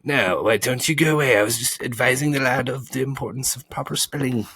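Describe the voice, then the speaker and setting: A man voicing an excitable, fussy bee in a storybook, huffy, accusing and sneering. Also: medium pitch, a little fast, slightly rough, dry recording, voice pushed up. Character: indignant, excitable bee, fussy about spelling.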